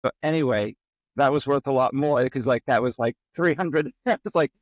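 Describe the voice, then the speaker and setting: A man's voice: medium pitch, normal speed, no room sound, highs cut off. A middle-aged man from the Boston area, a podcast guest telling personal stories in a casual, rambling way with many ums. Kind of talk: casual interview storytelling.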